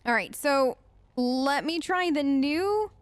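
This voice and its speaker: Chatty, high-pitched woman gamer. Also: expressive, slow, no echo. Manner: chatty, tentative, curious. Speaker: gaming streamer. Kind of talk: role-play let's play commentary.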